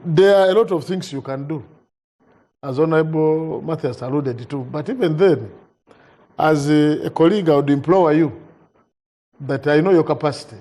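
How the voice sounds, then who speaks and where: A man, a member of parliament in a TV panel debate, speaking haltingly with repeated words and asides. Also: fairly high pitch, warm tone. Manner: halting, repeats words, asks "you understand?".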